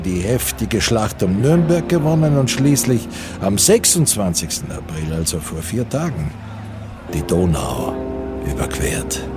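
Low-voiced wartime narrator over music. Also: male voice. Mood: wry. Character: wry male narrator of a wartime radio novel. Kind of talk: scripted literary narration.

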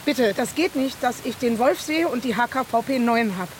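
A woman's voice: a little high, normal speed, slight background noise, close to an everyday voice. An actress playing a brash, agitated young woman in a naturalistic radio drama, speaking in blunt, clipped slang. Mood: urgent, pleading.

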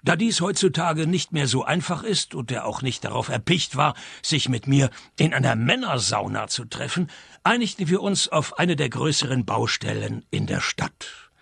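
An actor playing a wry, sarcastic private detective in a radio play. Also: male voice, mid-range voice, normal speed, gravelly, husky, no echo, full character voice. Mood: dry narration. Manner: dry, deadpan, sarcastic. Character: wry, deadpan male private detective narrating his cases.

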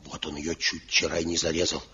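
A man's voice: extremely low pitch, level tone, brisk, sharp tone, breathy edge, full character voice. An actor playing a pious elder master craftsman in a 1970s radio play, earnest and reproachful as he pleads. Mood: pleading. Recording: dull lo-fi old radio recording.

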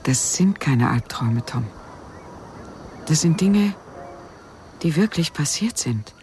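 An actress playing a guarded widow haunted by loss in a radio play, speaking in a measured, pausing way. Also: female voice, sits low, animated, darkish tone, slight background noise.

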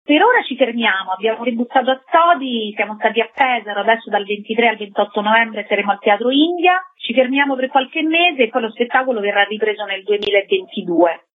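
A woman's voice: fairly high pitch, expressive, a little fast, few pauses. A woman theatre director explaining conversationally in an interview, emphatically repeating key words. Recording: thin, tinny telephone line.

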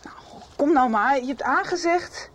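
A woman playing a brisk, practical young woman in a 1990s radio soap. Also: sits high, lively intonation, fairly bright tone, a little hiss or hum. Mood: impatient. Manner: brisk, coaxing.

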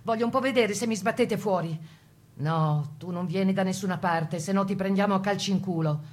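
A woman's voice: medium pitch, fairly quick, darkish tone, lightly acted. Actress as an earnest, resolute woman partisan veteran. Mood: indignant. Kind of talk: dramatized first-person testimony.

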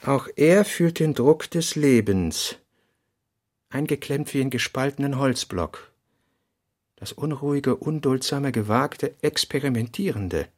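A man narrating a literary radio drama in a deliberate manner, with a gravelly voice. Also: mid-range voice, expressive, no echo, studio quality.

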